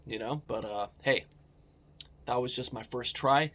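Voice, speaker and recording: A man's voice: medium pitch, unhurried, a bit bright. An American man narrating his own vlog in a casual, candid way, swearing now and then. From United States. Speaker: vlogger.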